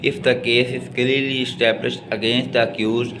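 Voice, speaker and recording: A man with a Pakistani accent giving a law lecture, reading out legal text and explaining it in a deliberate, halting way. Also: medium pitch, flat delivery, background noise.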